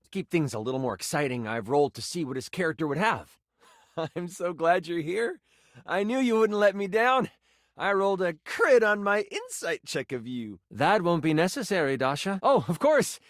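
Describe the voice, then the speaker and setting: An actor playing a warm-hearted dungeon master in a video game, narrating fantasy adventures theatrically with dramatic pauses. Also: male voice, high voice, animated, no echo, clean studio sound. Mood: friendly, playful.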